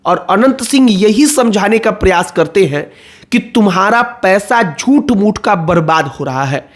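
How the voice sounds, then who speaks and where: A man's voice: high voice, lively intonation, measured pace. A man giving explanatory political commentary, going point by point and repeating phrases for emphasis.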